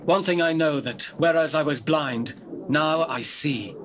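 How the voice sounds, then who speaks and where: An earnest, defiant beggar in a Bible audio drama. The voice is fairly high and plain-spoken, on old cassette with faint noise. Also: male voice, a little slow, fairly bright tone. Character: formerly blind beggar man, earnest and defiant. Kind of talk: dramatized scripture reading, stage-like acting. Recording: noisy old cassette tape recording.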